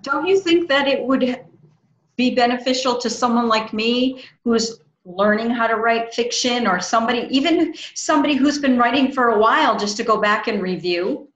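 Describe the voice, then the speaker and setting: A woman's voice: fairly high pitch, moderate pace, a bit bright, slight background noise. A middle-aged woman casually interviewing a guest over a video call from a small room, with roomy, slightly dull audio. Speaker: interviewer, host. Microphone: video call, at desk distance.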